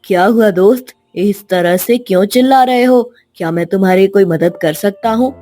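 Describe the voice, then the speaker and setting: A woman's voice: mid-range voice, moderate pace, mellow, soft background music, lightly acted. A woman voicing a kind, helpful schoolboy in a cartoon story, earnest and friendly.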